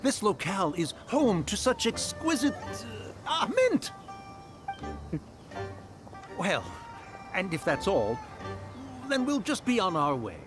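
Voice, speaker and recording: A man's voice: sits high, very animated, takes it slowly, pauses often, exaggerated voice. A man voicing an ancient beast spirit in disguise who speaks in grand archaic phrases, in a game cutscene. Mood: flustered. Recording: game audio with music underneath.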